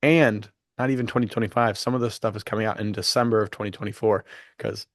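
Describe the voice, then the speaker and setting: Young male co-host, low voice, slightly hoarse, dry studio sound, eager chatty delivery. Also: fairly even intonation, fast, no echo. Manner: chatty, enthusiastic, many fillers. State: losing his voice after illness. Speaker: podcast co-host. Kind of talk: casual chat.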